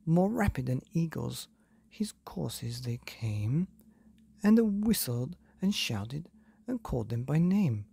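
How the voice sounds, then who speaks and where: A man reading a bedtime story expressively, in a soft, hushed, deliberate manner with dramatic pauses and a breathy, gravelly voice. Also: a little high, very animated. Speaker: podcast host and storyteller.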